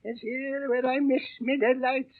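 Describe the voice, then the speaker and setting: An old blind beggar pirate from a thin 1930s radio play. The voice is high and slow, drawing out pitiful pleas that can turn to threats. It is creaky and sinister. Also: male voice, little pitch movement, narrow, tinny audio. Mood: wheedling, pitiful. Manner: theatrical, drawn-out wheedling turning to hissed threats. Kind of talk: 1930s radio drama acting. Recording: thin, band-limited 1930s radio recording.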